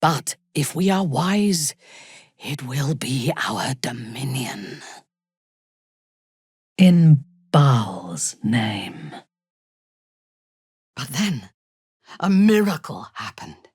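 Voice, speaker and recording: A ruthless female drow commander for a fantasy video game. Her voice is low and husky, haughty and menacing, and she takes her time while swinging widely in pitch. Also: sing-song, very slow, pauses often, darkish tone, no echo, studio quality, exaggerated voice. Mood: intense, solemn. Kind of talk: dramatic fantasy game acting.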